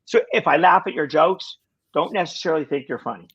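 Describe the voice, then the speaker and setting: A man of about sixty, a guest in a casual conversation, talking in halting bursts with lots of restarts and wry self-deprecating jokes. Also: a little high, fairly bright tone, husky, highs cut off. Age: 60s. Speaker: podcast guest.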